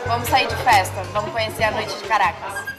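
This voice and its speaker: A woman's voice: medium pitch, fairly even intonation, fairly quick. A Brazilian woman with a Brazilian Portuguese accent, hosting a travel vlog in a chatty, enthusiastic way. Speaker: travel show host. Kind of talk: casual travel vlog narration. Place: lively night venue with live band. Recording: loud music and crowd noise. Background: loud music and crowd.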